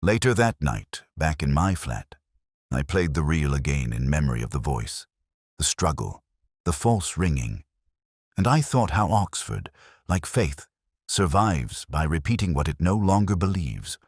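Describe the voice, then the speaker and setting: A man narrating as a brooding, weary middle-aged detective, in a measured, reflective and wry way. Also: a bass voice, breathy edge, dry recording, studio quality, exaggerated voice. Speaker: first-person narrator. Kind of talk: scripted radio-drama narration.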